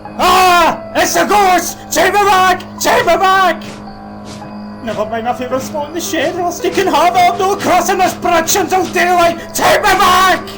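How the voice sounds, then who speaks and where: A man's voice: very high voice, music in the background, rough recording. A man voicing a stubborn, boastful little steam engine who is easily frightened, in an audio drama. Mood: terrified wailing. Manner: blustering, quick to panic. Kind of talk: audio drama character acting.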